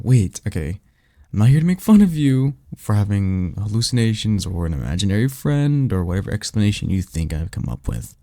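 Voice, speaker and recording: A man playing a guarded, secretive vampire in an ASMR roleplay, speaking in a halting, insistent, colloquial and sardonic way. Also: deep voice, vocal fry, warm tone, no echo, studio quality, exaggerated voice. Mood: awkward, pleading. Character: guarded, secretive male vampire offering magical mentorship. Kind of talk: ASMR roleplay audio drama.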